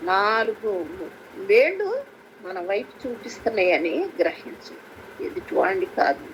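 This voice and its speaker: A woman with an Andhra Telugu accent preaching a sermon at a prayer meeting, speaking haltingly with frequent interjections. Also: mid-range voice, very animated. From Andhra Pradesh, India. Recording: faintly noisy conference call recording.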